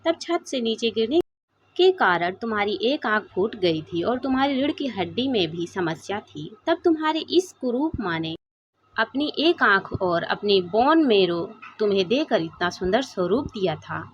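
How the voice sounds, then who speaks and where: A woman acts out an indignant, reproachful doctor uncle in a storybook moral tale. The voice is lively and stern, rising and falling widely. The sound is slightly muffled. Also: mid-range voice, normal speed, slight background noise, close to an everyday voice. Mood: grieving reproach. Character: indignant, grieving, scolding middle-aged male doctor uncle. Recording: dull, lo-fi sound.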